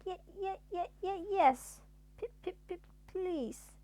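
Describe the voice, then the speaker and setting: A woman's voice: very high-pitched, slow, mellow, pitched above the performer's own voice. A woman voicing a tiny, hysterically nervous male storybook character who shrieks and stammers melodramatically. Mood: nervous stammering.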